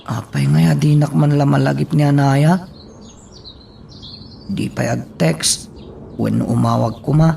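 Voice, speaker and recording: A man acting a heartsick, brooding character in an Ilocano radio drama, with a gravelly voice. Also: on the high side, steady tone, unhurried, long pauses, warm tone, a little hiss or hum.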